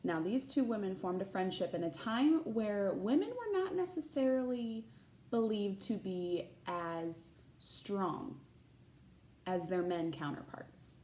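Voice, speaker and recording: A woman reads a storybook aloud as a teacher would, with very animated pitch. She speaks to young listeners and invites them to wonder along. The room around her voice is noticeably echoey. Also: takes it slowly, slight background noise. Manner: addresses young listeners with questions. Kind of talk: expressive read-aloud of a children's picture book with chatty asides.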